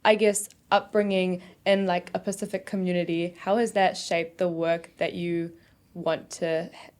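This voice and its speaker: A woman's voice: on the low side, little pitch movement, measured pace, a little mellow. Chatty New woman host. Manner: chatty, constant agreeing backchannels. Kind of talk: casual interview.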